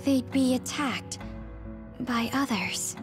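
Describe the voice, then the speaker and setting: A woman playing a perky, candid teenage girl in a video game, expressive and informal. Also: sits high, takes it slowly, over music. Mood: startled, puzzled. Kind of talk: scripted video game voice acting.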